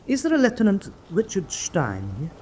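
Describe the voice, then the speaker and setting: An actor playing a subdued junior naval lieutenant in a radio drama, speaking in a guarded, hesitant, deferential way. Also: male voice, sits high, wildly swooping pitch, normal speed, mellow, a little hiss or hum. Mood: hesitant, respectful. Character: subdued, deferential junior German U-boat lieutenant, newly captured.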